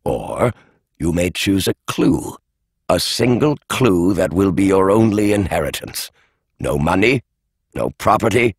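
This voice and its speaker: Formal elderly lawyer, read by a man, deep voice, precise delivery. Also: no echo, heavily acted. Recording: dry studio audiobook.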